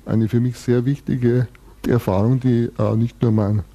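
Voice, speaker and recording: A man's voice: medium pitch, flat delivery, unhurried, mellow, breathy edge, lo-fi sound. A man in his sixties from Bavaria, telling his life story at length in a radio interview, thoughtful with dry humor and frequent restarts. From Bavaria, Germany. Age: 60s.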